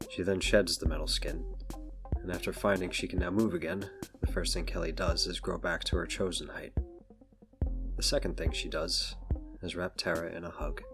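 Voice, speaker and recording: A man narrating a scripted audio drama in the third person. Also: low voice. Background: background music.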